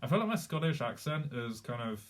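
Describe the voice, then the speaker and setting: A man's voice: fairly high pitch, sing-song, a little fast. A young man chatting casually on a live stream, rambling, swearing freely and laughing often. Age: young adult.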